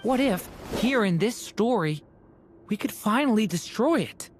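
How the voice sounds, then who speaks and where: A woman voicing a gentle, earnest young dragon boy in a video game, tentative in manner. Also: mid-range voice, lively intonation, unhurried, lightly acted. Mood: hesitant resolve. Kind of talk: video game character acting. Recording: faint music behind the voice.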